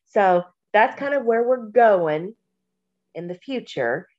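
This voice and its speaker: A woman from Kentucky co-hosting a podcast, talking slowly and reflectively, with a stuffy nose from allergies. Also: mid-range voice, lively intonation, highs cut off. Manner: slow, warm, reflective, with many pauses and fillers. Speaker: podcast co-host. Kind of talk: casual podcast chat.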